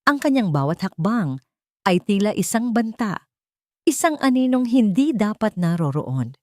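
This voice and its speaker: A woman narrating a scripted drama story in a solemn, deliberate, dramatic way. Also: mid-range voice, very animated, darkish tone, dry recording, studio quality.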